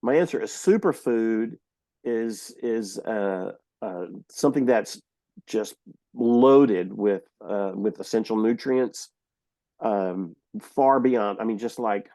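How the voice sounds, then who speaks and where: A man's voice: lowish voice, dark tone, dry recording. A man co-hosting a casual podcast, joking and self-deprecating, sounding nasal from a cold. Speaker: podcast co-host.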